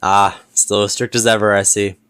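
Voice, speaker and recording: A man playing a smug, flirtatious businessman in an audio roleplay, teasing and smooth-talking with mock politeness. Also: fairly low pitch, little pitch movement, moderate pace, a bit bright, no echo, natural voice. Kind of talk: scripted audio roleplay acting.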